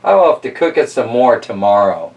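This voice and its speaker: A sly, hungry young man from a storybook , read by a man. He talks smoothly in a mid-pitched voice with plenty of movement, recorded from a little distance. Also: big pitch swings, brisk, darkish tone. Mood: coaxing. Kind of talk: storybook character reading.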